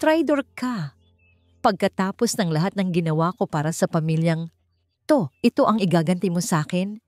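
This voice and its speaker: A woman voicing an enraged, scheming wife in a dramatic story, shrill and defiant as she spits threats. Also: animated, normal speed, darkish tone, no echo, faint music behind, lightly acted. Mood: furious. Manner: spitting threats and insults.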